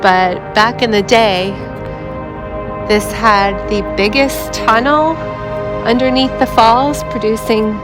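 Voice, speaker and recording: A woman guides a walking tour in a slow, unhurried way, her fairly high voice a little hoarse after an illness. She is filmed outdoors on a camera she carries, and loud music plays under her voice. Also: fairly even intonation. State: voice recovering from illness, slightly hoarse. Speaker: tour vlogger. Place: outdoors by a river near waterfalls.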